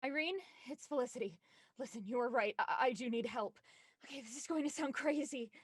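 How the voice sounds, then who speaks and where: Woman as scared young female caller, radio thriller: high register, tense, hesitant, confiding. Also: fairly quick, slightly rough, no echo, clean studio sound. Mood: frightened, confiding. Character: Felicity, frightened young woman confiding by voicemail. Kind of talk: audio thriller acting.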